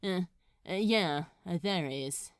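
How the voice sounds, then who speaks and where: A cool, offhand man from an audio story, as a woman plays him, teasing and a bit awkward. He speaks in short, unhurried, hesitant bits, recorded dry. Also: on the low side, clean tone, dry recording. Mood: amused, casual.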